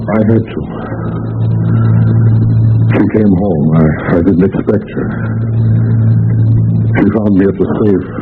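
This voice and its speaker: An actor playing a desperate, self-justifying wife killer in an old-time radio drama, halting and defensive, with menace. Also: male voice, lowish voice, noisy background. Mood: halting confession. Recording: tinny vintage radio recording, music.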